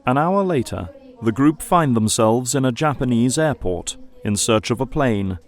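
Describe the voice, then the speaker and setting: A man's voice: mid-range voice, expressive, moderate pace. A man narrating an audio drama in a scripted, dramatic storytelling style.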